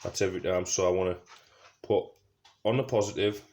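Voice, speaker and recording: A man's voice: sits low, steady tone, brisk, darkish tone. A man giving a casual hands-on DIY tutorial, talking through each step and thinking aloud as he works. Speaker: presenter of a DIY car project video. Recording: noisy amateur recording with clicks.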